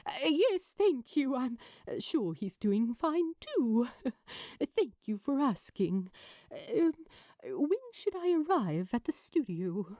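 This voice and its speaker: A woman's voice: sits high, very animated, slow pace, warm tone, dry recording, clean studio sound, full character voice. A woman voicing a fussy, polite man in an audiobook story, hesitant and stammering as he hides his distress. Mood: nervous, hesitant. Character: fussy, polite male actor hiding distress on the phone.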